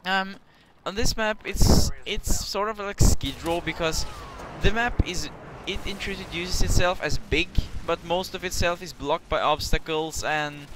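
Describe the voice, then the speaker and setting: A man's voice: high-pitched, a little slow, few pauses. A young man with a Norwegian accent giving casual, rambling commentary over his gameplay, swearing now and then. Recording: clear background hiss.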